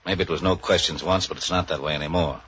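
An actor playing a suspicious, bullying ship's captain in a 1940s radio crime drama, gruff, curt and commanding. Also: male voice, sits low, fast talker, a bit bright. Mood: cold, menacing. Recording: dull, noisy old radio recording.

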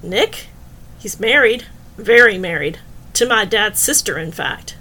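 A woman's voice: mid-range voice, animated, measured pace, bright, forceful tone, rough recording. A woman narrator voicing a wry, friendly, unruffled female bartender in an audiobook, teasing and matter-of-fact.

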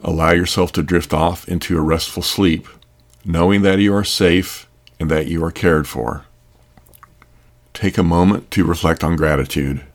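A man narrating a scripted sleep meditation in a calm, soothing, gentle manner. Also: low-pitched.

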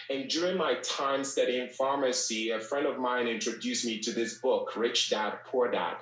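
A man's voice: medium pitch, fairly bright tone. A man from Ghana, a podcast guest telling his story casually, with fillers and restarts. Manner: conversational, frequent fillers and restarts. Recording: echoey room, distant from mic.

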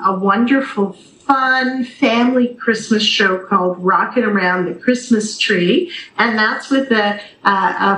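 A woman's voice: medium pitch, fairly bright tone, a little hiss or hum. Canadian woman on a roomy video call. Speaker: theatre company executive director, radio guest. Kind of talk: conversational interview answers.

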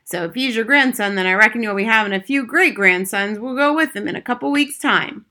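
Woman doing a chatty old cabbie, bright-voiced. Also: fairly high pitch, a little fast. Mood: chatty amusement. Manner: colloquial, chatty. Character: chatty oldish male taxi driver, startled, folksy.

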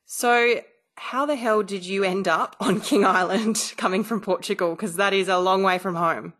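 A woman's voice: mid-range voice, moderate pace, fairly bright tone. A woman from Australia hosting a podcast, reading an upbeat intro and interviewing a guest. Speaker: podcast host. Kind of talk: podcast hosting, scripted intro then chat.

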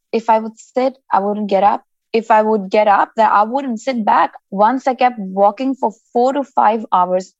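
A woman's voice: medium pitch, darkish tone, no room sound. Candid woman in her thirties, podcast guest, earnest and plain-spoken, muffled dry sound. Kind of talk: candid personal storytelling.

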